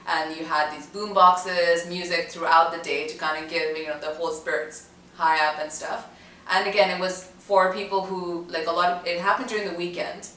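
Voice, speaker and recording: A young woman from Dubai with a low voice, telling her story in a loose, chatty way full of "um" and restarts. She sounds set back from the mic in an echoey room. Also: fairly bright tone, distant mic, a little hiss or hum. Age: young adult. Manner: chatty, many fillers and restarts. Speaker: student interviewee. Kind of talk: casual recollection to camera.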